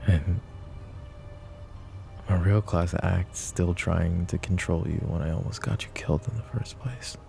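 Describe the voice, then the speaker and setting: A man playing a possessive young adult mafia boss in an ASMR roleplay, speaking low and measured to an unheard listener. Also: fairly even intonation, vocal fry, dark tone, faint music behind, heavily acted. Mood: heated. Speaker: voice actor.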